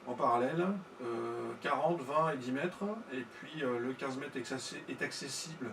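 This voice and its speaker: A man talks casually as a ham radio operator, working through technical details with some hesitation. His voice sits at an ordinary male pitch. The sound is noticeably roomy, with a faint layer of noise behind it. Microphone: studio-style mic through tube preamp.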